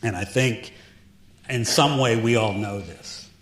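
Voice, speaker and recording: A man's voice: fairly low pitch, unhurried, fairly bright tone. A man, a film director, answering questions on stage in an easygoing, joking manner. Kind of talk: Q&A panel answers. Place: auditorium stage. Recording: echoey hall with faint noise.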